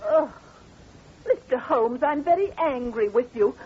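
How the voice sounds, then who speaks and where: A woman's voice: high voice, lively intonation, a little slow, darkish tone, husky, exaggerated voice. An actress playing a young theatre singer, girlish, coy and theatrical, in 1940s radio drama style. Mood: indignant. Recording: dull, noisy old radio recording.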